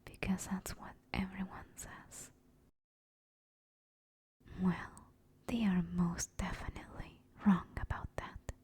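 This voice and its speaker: A woman playing a gentle, affectionate sleep paralysis demon in a soothing, reassuring whispered ASMR comfort roleplay. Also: mid-range voice, steady tone, breathy edge. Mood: tender, reassuring. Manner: soft, slow, soothing, reassuring.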